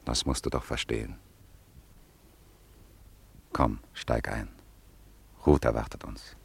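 An actor playing a loyal French friend who gently comforts a refugee couple in a radio drama. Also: male voice, very deep voice, slow, creaky, breathy edge, exaggerated voice. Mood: consoling. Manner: gentle, consoling, hushed. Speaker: family friend. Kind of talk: radio play acting.

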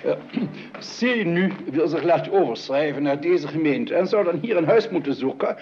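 A man's voice: on the high side, animated, normal speed, a little mellow, lightly acted. An actor playing a flustered, officious male family doctor who stammers, hesitates and corrects himself in formal speech, in a radio comedy. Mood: officious, hesitant. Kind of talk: Dutch radio comedy drama acting. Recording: dull, noisy old radio recording.

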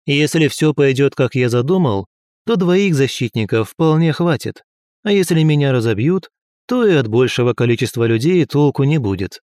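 A seasoned general with a practical, matter-of-fact way of talking, read by a man. The voice is mellow and dark, and the recording is dry and close. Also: medium pitch, lively intonation, moderate pace, dry recording, lightly acted. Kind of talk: audiobook character voice.

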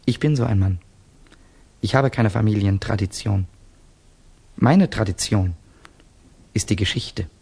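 An actor in a 1960s German radio drama playing a young Russian student, a proud and fearful loner, intense and rhetorical. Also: male voice, medium pitch, a little mellow.

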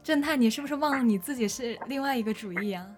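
A woman's voice: a little high, quick pace. A woman interjecting casually in a group online voice chat, with music behind her. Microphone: online voice call.

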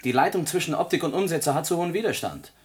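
An actor playing a brisk, technical-minded lab assistant to a doctor in a science-fiction radio drama. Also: male voice, fairly high pitch, animated, breathy edge. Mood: businesslike.

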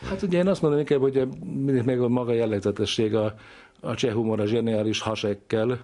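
A man's voice: mid-range voice, fairly even intonation, dark tone. A man giving reflective, scholarly explanations in an interview, speaking discursively as an expert.

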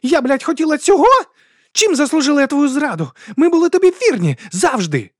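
A man voicing a vengeful, defiant mercenary woman in an audiobook, shouting in a strained, bitter way. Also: sits high, animated, fast talker, no echo, clean studio sound, pitched above the performer's own voice. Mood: outraged, screaming. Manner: shouting, strained, near screeching.

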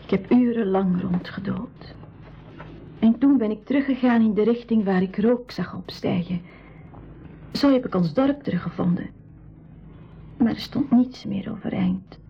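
A woman's voice: mid-range voice, a little slow, pauses often, a little hiss or hum, natural voice. A woman playing a shy, frightened seventeen-year-old refugee girl in a school radio play, hesitant and sparing with words. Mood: sad, shaken. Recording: dull lo-fi 1980s radio recording.

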